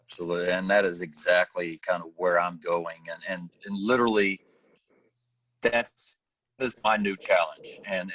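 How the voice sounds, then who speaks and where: A man hosting a podcast in casual conversation, halting and repeating words for emphasis, recorded on a distant, dull microphone. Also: low-pitched, fairly bright tone. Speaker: podcast host, firefighter.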